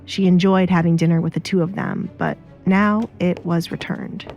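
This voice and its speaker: A woman's voice: fairly low pitch, fairly even intonation, moderate pace, gravelly, a little mellow, dull audio, faint music behind. A woman narrator reading a scripted audio drama story in the third person.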